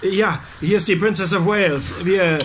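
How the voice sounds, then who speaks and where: An actor playing a haughty, easily angered first officer of a ship in a radio drama, sharp and authoritative. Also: male voice, high voice, normal speed. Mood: urgent. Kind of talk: German radio drama acting.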